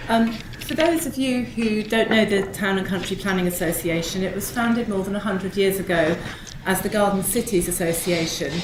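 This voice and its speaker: Woman, hoarse, cold, conference talk, echoey hall, background noise. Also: medium pitch. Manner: measured, informative, frequent ums. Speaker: panel speaker. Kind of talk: conference presentation.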